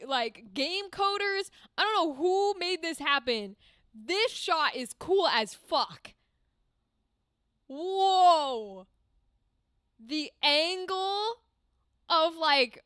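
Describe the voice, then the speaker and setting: A young American woman streaming a game, reacting excitedly and swearing freely as she plays. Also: sits high, no echo, clean studio sound. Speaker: streamer playing games. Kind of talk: live game commentary.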